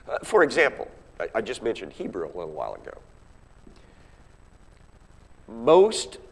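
Animated male Bible teacher in an echoey hall. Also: on the low side. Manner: emphatic, conversational, often checking in with rhetorical questions. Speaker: Bible class teacher or preacher. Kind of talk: expository Bible teaching with questions to listeners. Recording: echoey hall with long reverb.

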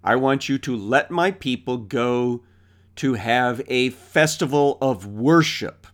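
A man teaching a Bible lesson unscripted, thinking aloud and pausing often with filler sounds. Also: mid-range voice.

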